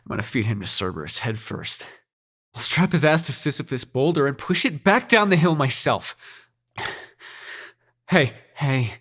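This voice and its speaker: Roleplay hero, played by a man: feisty, protective prince. Breathy, very animated and urgent delivery. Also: medium pitch, normal speed. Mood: relieved, angry, protective. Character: feisty young male prince, protective, foul-mouthed, devoted lover. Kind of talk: dramatic audio roleplay.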